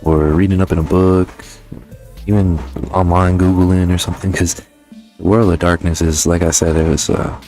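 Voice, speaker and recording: Low-voiced man in casual game review. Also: little pitch movement, normal speed, darkish tone, soft background music. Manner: slangy, informal, conversational. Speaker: host of a game review channel.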